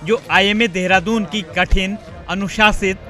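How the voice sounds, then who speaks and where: A man's voice: sits high, fairly even intonation, rapid-fire, sharp tone, faint music behind. A man reading a scripted news voice-over as the presenter.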